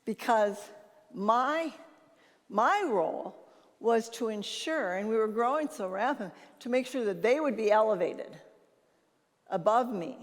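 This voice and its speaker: An older woman with a naturally loud voice gives an informal conference talk, telling anecdotes and acting out bits of conversation. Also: a little high. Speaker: conference speaker and leadership consultant. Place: large reverberant conference hall. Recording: reverberant hall sound.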